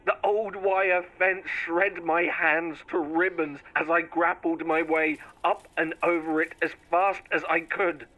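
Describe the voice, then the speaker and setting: A man narrating a scripted first-person horror story in a deliberate, theatrical storytelling manner. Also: fairly high pitch, flat delivery, pushed and bright, poor audio.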